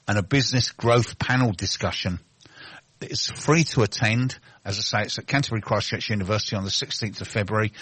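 A man's voice: fairly low pitch, slightly rough, no echo. A man hosting a local business radio show, reading event listings and trading dry, teasing banter with his co-host. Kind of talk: casual radio chat and event announcements.

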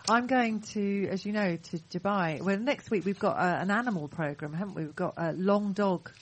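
A woman's voice: mid-range voice, normal speed, slight background noise. A woman co-hosting a local radio show, chatty and playful, telling stories and joking. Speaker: radio co-host. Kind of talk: casual radio chat.

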